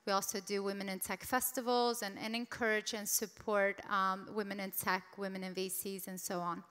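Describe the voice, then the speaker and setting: Bright, crisp woman emceeing a panel. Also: medium pitch, level tone, normal speed. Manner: upbeat, emphatic emcee. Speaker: panel moderator. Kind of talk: conference stage hosting. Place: large conference hall. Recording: echoey conference hall.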